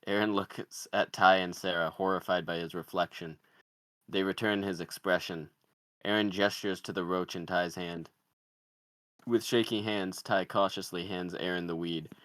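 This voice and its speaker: A man's voice: deep voice, steady tone, normal speed, fairly bright tone, no room sound. A man narrating, reading out the stage directions of a screenplay.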